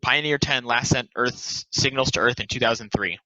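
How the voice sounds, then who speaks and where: A man's voice: mid-range voice, moderate pace, pushed and bright, no room sound, highs cut off. A man reading stage directions as scripted narration for a play's table read.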